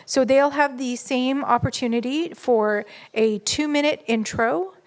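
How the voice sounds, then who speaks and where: A woman moderating a public candidates forum, reading out names and explaining the format in a steady, organized way. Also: high voice, a little slow. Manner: steady, organized announcing with fillers.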